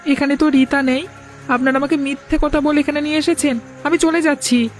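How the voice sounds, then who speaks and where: A woman's voice: sits high, fairly even intonation, brisk, soft background music, natural voice. A woman voicing an earnest, plaintive young village boy in a cartoon drama. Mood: wary, defiant. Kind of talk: cartoon voice acting.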